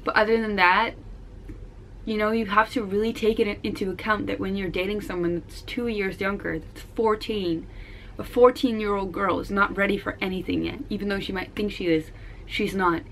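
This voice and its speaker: A woman's voice: medium pitch, fairly even intonation, moderate pace, fairly bright tone, slight background noise, rough recording. Blunt Dutch teenage girl vlogging. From Netherlands. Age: teens. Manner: frank, blunt, swears casually. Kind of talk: casual Q&A vlog.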